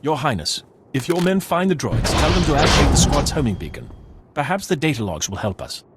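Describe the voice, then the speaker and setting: An actor playing a stiff, dutiful guard captain of a noble house in a video game, formal and military. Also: male voice, mid-range voice, very animated, a little fast. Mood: deferential. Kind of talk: scripted video game acting. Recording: loud gunfire and battle noise behind.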